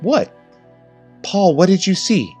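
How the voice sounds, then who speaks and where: A man's voice: high-pitched, expressive, slow, mellow, soft background music, pitched above the performer's own voice. A man voicing a tough, assertive female police detective who is firm but reassuring. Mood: demanding.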